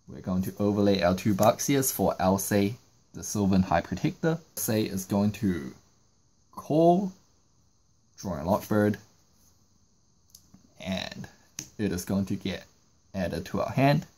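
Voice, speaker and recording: A man's voice: on the low side, expressive, slow pace, long pauses, warm tone. A man narrating a step-by-step card game tutorial, explaining each move in sequence. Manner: explanatory, walking through moves in sequence. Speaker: trading card game YouTuber.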